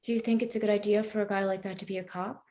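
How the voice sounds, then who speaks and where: A woman's voice: mid-range voice, flat delivery, racing, darkish tone, lightly acted. Actress as persistent troubled woman, mid-range voice, earnest questioning, natural stage play dialogue. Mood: probing. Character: probing, morally troubled woman questioning a cop. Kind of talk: live staged play reading.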